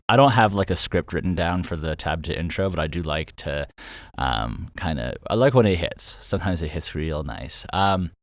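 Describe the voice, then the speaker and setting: A man's voice: low voice, no echo, studio quality. A man hosting a casual, unscripted solo update about his creative work, thinking aloud in a loose, rambling way. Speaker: audio drama creator and host.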